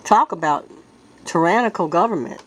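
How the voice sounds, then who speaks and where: Stuffy-nosed woman clearing her throat. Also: on the low side, expressive, low-quality recording. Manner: earnest, halting, with many restarts. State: congested, stuffed-up nose from a sinus illness. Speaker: vlogger. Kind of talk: casual talk to viewers.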